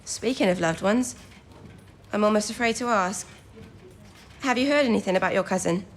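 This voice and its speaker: A woman's voice: mid-range voice, moderate pace, close to an everyday voice. A young woman playing an eager, modest teenage recruit to wartime codebreaking in a period radio drama, chatty and polite. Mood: earnest. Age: young adult.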